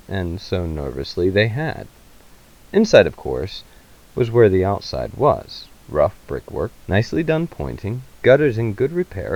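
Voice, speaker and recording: A man's voice: fairly low pitch, darkish tone, low-quality recording. A man reading a story aloud as narrator, in a dramatic literary style. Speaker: narrator, reader.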